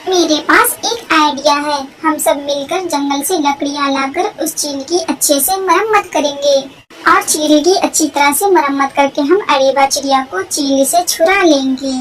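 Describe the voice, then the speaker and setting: A woman's voice: sits high, a little slow, rough recording. A woman voicing a loyal, helpful female crow with an earnest, encouraging manner in a cartoon. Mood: determined.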